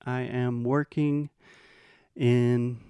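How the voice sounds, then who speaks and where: An American man teaching English in a bilingual lesson, explaining patiently and modeling phrases slowly. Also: mid-range voice, flat delivery, dark tone, smooth, dry recording, studio quality. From United States.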